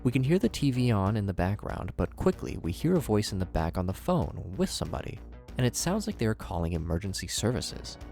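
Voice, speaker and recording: Low-voiced, animated male commentary host. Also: a little fast, soft background music. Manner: expressive, conversational presenter delivery. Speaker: YouTube host, horror series reviewer. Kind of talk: scripted commentary narration.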